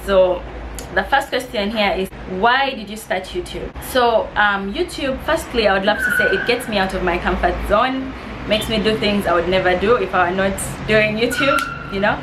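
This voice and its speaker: A woman's voice: medium pitch, moderate pace. Young East African woman vlogging, noisy room. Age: 20s. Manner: friendly, slightly nervous, reading questions aloud with soft laughs. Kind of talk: casual Q&A vlog.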